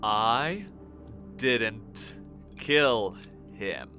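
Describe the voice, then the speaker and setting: An actor playing a resentful, defiant murder suspect who answers tersely in an audio drama. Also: male voice, fairly low pitch, animated, drawn-out words, sharp tone, faint music behind, heavily acted. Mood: strained denial. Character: tense, resentful young male murder suspect.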